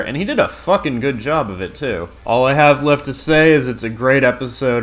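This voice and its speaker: A man's voice: medium pitch, a bit bright. A man hosting and narrating a scripted video review in a casual, profane, enthusiastic manner. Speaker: video host.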